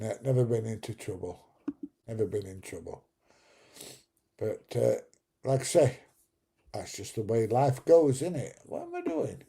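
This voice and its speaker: Slow, gravelly man rambling. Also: mid-range voice, mellow. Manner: rambling, jokey, chuckles at his own stories. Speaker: livestream host. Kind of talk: casual live chat reading viewer comments.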